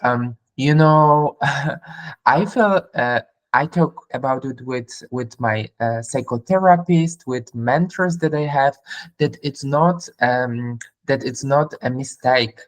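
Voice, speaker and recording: A woman from Poland with a Polish accent, speaking as a guest in casual interview chat, her non-native English halting and full of fillers. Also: a bass voice.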